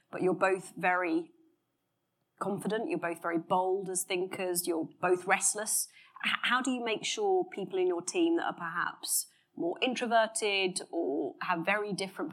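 A woman hosting a podcast panel, asking the guests probing questions and affirming them with short backchannels. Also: fairly low pitch. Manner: inquisitive, affirming with brief backchannels. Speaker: podcast host and moderator.

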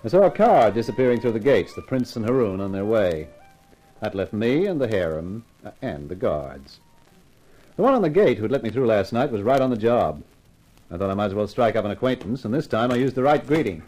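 A man's voice: fairly low pitch, sing-song, a little fast, a little mellow, faint music behind, low-quality recording. A man playing a breezy, irreverent, hard-drinking detective, acting and narrating in a colloquial way in a 1950s radio mystery. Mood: breezy narration. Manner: wry, colloquial, hesitant asides.

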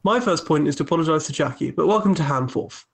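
Guy reading as apologetic council bloke in a comedy reenactment, talks fast, bit of fry. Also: on the high side, lively intonation, full character voice. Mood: earnest, polite. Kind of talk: amateur dramatic reading.

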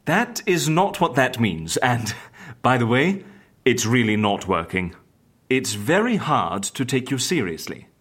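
A woman's voice: very deep voice, sing-song, unhurried, breathy edge, exaggerated voice. A woman playing a sceptical, exasperated agency interviewer in an audio comedy, with a dry, questioning and impatient manner. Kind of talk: scripted audio comedy drama.

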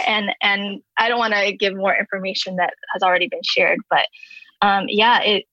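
Soft-spoken young woman, flat delivery. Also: medium pitch, no room sound. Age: 20s. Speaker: podcast guest. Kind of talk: reciting her own poem, then casual interview talk. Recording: dull lo-fi dry sound.

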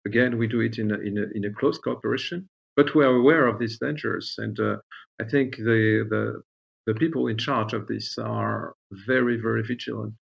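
Husky Frenchman, halting and gravelly. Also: medium pitch. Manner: halting, searching for words, repeats words. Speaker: diplomat being interviewed. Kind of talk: formal interview answers. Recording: dull, lo-fi audio.

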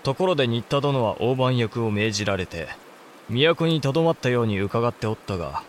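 Casting: composed young-lord type samurai leader male actor, medium pitch, formal and grave, period audio drama. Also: lively intonation, normal speed, a little hiss or hum, lightly acted. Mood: courteous. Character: thoughtful, measured samurai clan head. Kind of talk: Japanese historical audio drama.

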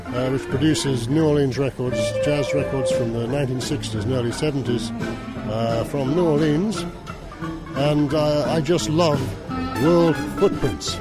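A man's voice: mid-range voice, fairly even intonation, unhurried, rough recording. A man with a Northern Irish accent giving an unscripted testimonial for a radio show. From Northern Ireland. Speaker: guest giving a testimonial, record company owner. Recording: voice under loud music.

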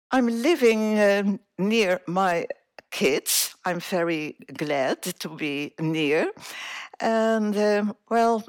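A woman in her eighties, born in Indonesia, telling her life story as an interview guest, slowly and with many hesitations. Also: medium pitch, no room sound, clean studio sound. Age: 80s. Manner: slow, hesitant, many filler sounds.

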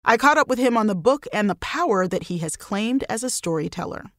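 A woman's voice: medium pitch, animated, a little fast, a bit bright, dry recording. Woman hosting a podcast, dry close voice. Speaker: podcast host.

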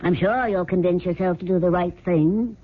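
A woman's voice: on the low side, normal speed, lightly acted. A woman playing a cold, persuasive scheming girlfriend in a 1970s radio drama, insistent and matter-of-fact. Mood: coolly persuasive. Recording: lo-fi phone-like old radio recording.

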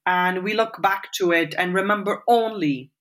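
Here is a woman in her forties from Lebanon, answering interview questions in a thoughtful, measured way. Her voice sits a little low for a woman. She often pauses on fillers and restarts her sentences. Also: fairly bright tone. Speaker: interview guest.

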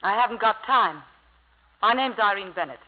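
A woman's voice: medium pitch, expressive, moderate pace, slight background noise, close to an everyday voice. A woman playing a self-possessed, world-weary young society lady with a dry, unruffled manner in a radio drama. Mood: cool, guarded. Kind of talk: 1950s radio drama acting. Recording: tinny old radio broadcast, hall reverb.

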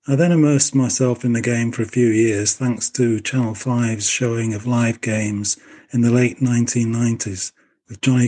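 A man's voice: medium pitch, warm tone, husky. A middle-aged man with a Yorkshire English accent, reading a prepared introduction as a podcast co-host. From Leeds, England. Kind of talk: scripted self-introduction.

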